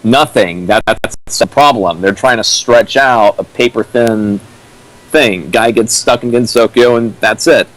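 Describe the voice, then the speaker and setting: A man's voice: fairly low pitch, unhurried, fairly bright tone, rough recording. A man giving casual, critical commentary as a co-commentator, with a dry manner.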